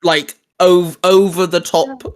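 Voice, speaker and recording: A man's voice: high voice, slow pace. A man hosting an informal geeky discussion, thinking aloud and asking questions conversationally. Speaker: channel host. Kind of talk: casual geek discussion.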